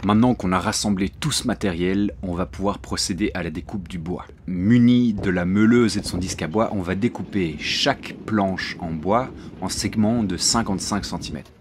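Low-voiced man narrating a DIY tutorial. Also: moderate pace, few pauses, background noise. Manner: relaxed, friendly, mixes slang with instructions. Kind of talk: casual DIY tutorial voice-over. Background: faint music.

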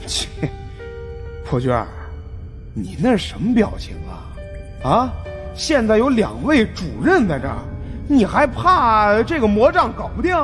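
A man's voice: high-pitched, huge pitch swings, a little slow, a bit bright, faint music behind, voice pushed up, exaggerated voice. A man voicing a cheeky, nervous fat man who talks colloquially and stammers. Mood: flippant.

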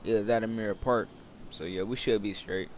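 A man's voice: on the low side, fairly even intonation, fairly quick. A young American man giving casual, rambling, slangy commentary over roleplay gameplay, often repeating himself. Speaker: gaming video creator. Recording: loud game engine and street noise. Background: video game vehicle and city ambience.

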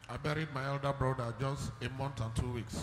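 A man's voice: medium pitch, steady tone, brisk. A man with a Nigerian accent, a congregant answering a preacher in short subdued replies, speaking into a handheld mic held for him. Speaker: congregant receiving prayer. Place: large church hall. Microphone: handheld microphone held for him. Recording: roomy hall with noise.

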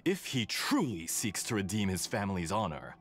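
An actor playing a suave, scheming male villain in a game cutscene, smooth and condescending, with music underneath. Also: deep voice, sing-song, moderate pace. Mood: sly. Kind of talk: video game voice acting.

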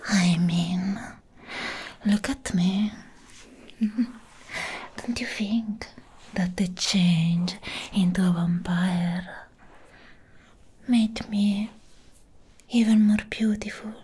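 Breathy, whispering seductive vampire woman, acted by a woman. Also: medium pitch, steady tone, mellow, exaggerated voice. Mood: vain, seductive. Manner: slow, teasing, intimate delivery with drawn-out words. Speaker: ASMR roleplay performer. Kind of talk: soft whispered ASMR roleplay. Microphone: close mic.

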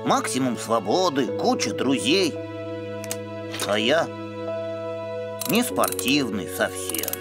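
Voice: mid-range voice, big pitch swings, takes it slowly, long pauses, loud music behind the voice. A performer voicing a bookish, earnest, brainy boy puppy in a children's cartoon, with pompous, learned diction. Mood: gentle. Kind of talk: animated children's cartoon acting.

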